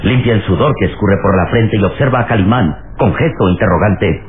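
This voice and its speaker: A man narrating a radio serial in a solemn, theatrical style with drawn-out phrasing. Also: on the low side, phone-quality sound, a little hiss or hum. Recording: thin vintage radio sound, loud music.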